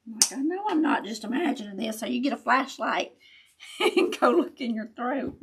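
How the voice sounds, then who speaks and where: Raspy, chatty woman. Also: on the high side, expressive, moderate pace, fairly bright tone. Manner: chatty, laughs often, talks to viewers as y'all. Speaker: craft tutorial host. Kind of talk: casual live craft chat.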